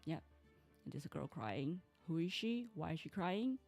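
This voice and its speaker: Low gravelly woman streaming a game. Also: very animated, normal speed, a little mellow, soft background music. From Taiwan. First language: Mandarin. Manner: chatty and rambling, often restarting sentences. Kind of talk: casual game stream chat.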